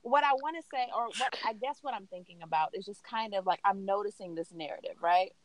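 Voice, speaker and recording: A woman co-hosting a podcast, talking in a playful, slangy, animated way in casual chat. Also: medium pitch, a little fast, no room sound, rough recording. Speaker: podcast co-host.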